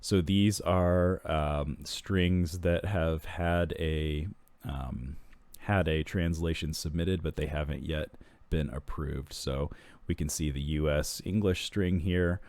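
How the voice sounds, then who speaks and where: A man walking through software screens in a tutorial, explaining steps in an unhurried way with frequent ums and restarts. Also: very deep voice, fairly even intonation, no room sound. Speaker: software product walkthrough presenter.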